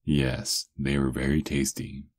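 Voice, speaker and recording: A man's voice: rumbling low, flat delivery, breathy edge, dry recording, heavily acted. A man playing a doting, teasing vampire lover in an intimate, murmured ASMR roleplay, close to the microphone. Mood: pleased storytelling. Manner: slow, intimate murmuring with soft chuckles. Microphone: close microphone, dry.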